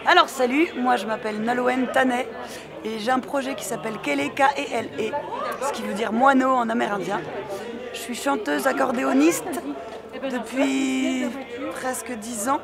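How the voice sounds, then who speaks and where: A woman's voice: high voice, normal speed, gravelly, over loud music. A young woman singer and accordionist, talking casually with fillers in a festival interview. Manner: relaxed, hesitant with fillers. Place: outdoor street festival. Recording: loud crowd behind.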